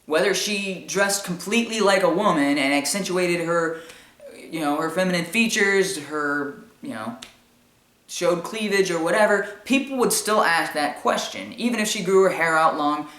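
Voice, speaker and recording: A man with a high-pitched voice giving informal opinion commentary in an explanatory, argumentative way with emphatic repetition. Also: moderate pace, fairly bright tone. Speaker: commentary show host. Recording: echoey room sound.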